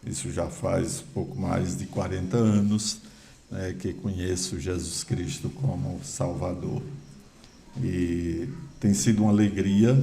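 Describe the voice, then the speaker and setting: A man in his sixties from Bahia with a Northeastern Brazilian accent, a guest pastor giving a folksy, unhurried personal testimony in a church hall. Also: low-pitched, dark tone, a little hiss or hum. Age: 60s. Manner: folksy, unhurried, many filler pauses. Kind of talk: sermon introduction, personal testimony. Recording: roomy church echo.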